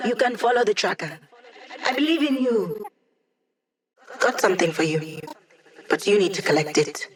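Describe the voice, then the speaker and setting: A woman's voice: lowish voice, rough recording. A woman voicing a wry, upbeat young hacker who lives as a digital mind, in a casual, teasing video game performance. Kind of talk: video game character voice lines.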